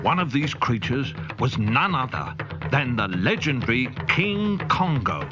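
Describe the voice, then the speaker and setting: A man's voice: mid-range voice, very animated, measured pace, lo-fi sound, over loud music. A man reading a children's audiobook aloud in a playful, comic manner. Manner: playful, comic, spirited delivery. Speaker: storyteller. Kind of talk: scripted children's audiobook narration.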